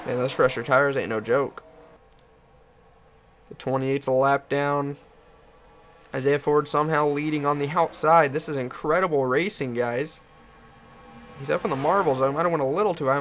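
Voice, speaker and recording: A young man giving excited play-by-play commentary on a video game car race and hosting his channel, getting hoarse as he goes. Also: a little high, moderate pace, long pauses, slight background noise. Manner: builds to shouting excitement at the finish.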